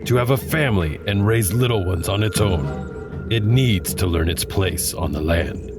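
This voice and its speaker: Man as a wise old teacher, deep voice, slow and patient, music behind.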